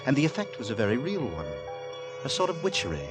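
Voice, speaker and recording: A man narrating in 1940s radio drama style, literary, deliberate and suspenseful. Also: medium pitch, wildly swooping pitch. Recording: vintage 1940s radio with music.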